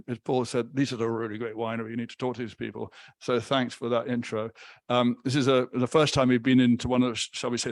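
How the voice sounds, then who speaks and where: A man's voice: medium pitch, creaky, no room sound. A man hosting an online lecture series, introducing the guest speaker in a hesitant way, restarting phrases. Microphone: video call microphone.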